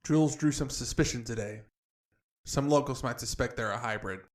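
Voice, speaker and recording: Earnest male fantasy operative acted by a man, mid-pitched, restrained, reporting dutifully to superiors. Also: moderate pace, close to an everyday voice. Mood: guarded. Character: earnest, guarded young agent reporting to his superiors. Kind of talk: fantasy audio drama acting.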